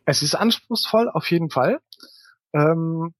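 A man's voice: on the high side, a little slow, no room sound, studio quality. A man answering questions conversationally as an author being interviewed. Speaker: author interview guest, writer of novels and audio dramas.

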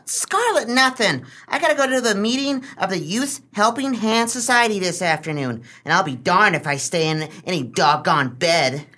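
A woman's voice: medium pitch, animated, normal speed, few pauses, a bit bright. A woman playing a defiant young boy in a comic radio play, grumbling in folksy slang.

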